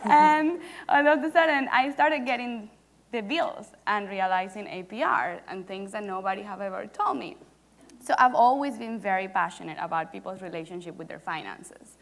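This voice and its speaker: A woman with a medium-pitched voice talks on a panel. She tells her story in an animated, playful way and engages the audience directly. She is miked with a lapel on an event stage. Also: moderate pace. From Venezuela. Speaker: startup founder on a panel. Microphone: lapel mic.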